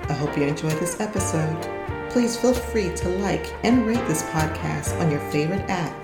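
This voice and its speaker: Woman podcast host, low voice, echoey room, loud music behind. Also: expressive, normal speed. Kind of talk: podcast outro. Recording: roomy echo with loud music.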